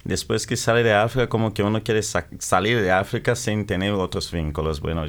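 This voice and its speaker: A man's voice: fairly low pitch, fairly even intonation. A middle-aged man from the Democratic Republic of the Congo, giving interview answers and often stopping to correct his words. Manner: halting, self-correcting, searching for words. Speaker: guest, capoeira practitioner.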